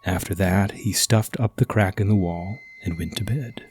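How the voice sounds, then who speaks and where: A man reading a scripted ghost story as narrator, dramatic and at times hushed. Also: deep voice, moderate pace, slightly rough.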